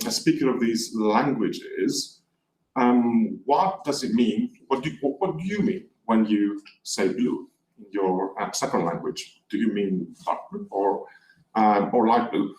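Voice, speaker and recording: Low-voiced man lecturing in a roomy hall. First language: Greek. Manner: explanatory, poses rhetorical questions to the audience. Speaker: professor. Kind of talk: academic plenary lecture. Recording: roomy, distant from mic, faint background noise.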